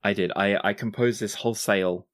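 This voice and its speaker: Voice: sits low, fairly even intonation, fairly quick. Methodical game master explaining tabletop rules.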